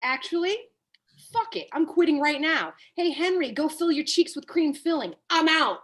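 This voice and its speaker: A woman's voice: sits high, lively intonation. A woman reading the part of a brash, foul-mouthed, washed-up male Hollywood agent at a comedy table read. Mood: brash, indignant. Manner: blunt, profane, halting when cornered.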